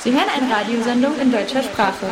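A woman's voice: fairly high pitch, quick pace, background noise. A woman reading a scripted radio station identification as an announcer. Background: loud music.

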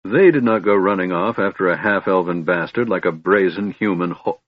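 A man's voice: low voice, normal speed, dry recording, highs cut off. A man voicing an old elven father and ruler, stern and commanding, with tight, formal speech in a fantasy audiobook. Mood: stern rebuke.

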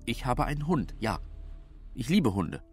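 A man's voice: mid-range voice, big pitch swings, measured pace, faint music behind. An actor playing a defensive, lovesick man under police questioning, hesitant, in a naturalistic radio crime drama. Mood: nervous.

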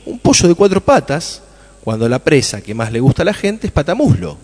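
A man playing an enthusiastic, dreamy farmer in a radio play, speaking colloquially with an Argentine accent and expressive delivery. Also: a little high, fairly quick, husky. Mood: excited, scheming. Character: Germán, enthusiastic dreamy male farmer from a farming family. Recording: long hall reverb.

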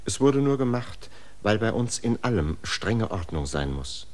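An actor playing a stiff, officious village schoolteacher in a radio play, lecturing and prim. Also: male voice, on the low side, sing-song, measured pace.

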